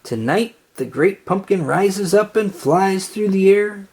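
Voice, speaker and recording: A storybook reader voicing a hopeful, earnest and excitable young boy. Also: mid-range voice, a little slow, breathy edge, voice pushed up. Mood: excited. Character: hopeful young boy who believes in pumpkin legend.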